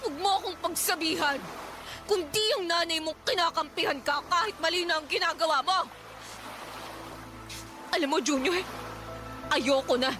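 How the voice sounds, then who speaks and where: Very high-voiced fed-up young wife. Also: female voice, moderate pace, long pauses, over music. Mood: bitter, resolute. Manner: emotional, confrontational delivery. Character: fed-up wronged young wife and mother, fiery, defiant. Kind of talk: Tagalog radio drama acting.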